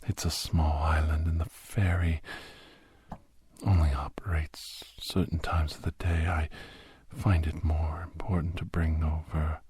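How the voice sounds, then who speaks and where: A man playing a menacing, cultured, hungry villain in an ASMR drama, slow and deliberate, in a breathy whisper. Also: a bass voice, darkish tone, dry recording, heavily acted. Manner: slow, deliberate, halting, savoring phrases. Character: menacing, cultured captor, hungry island-dwelling werewolf villain.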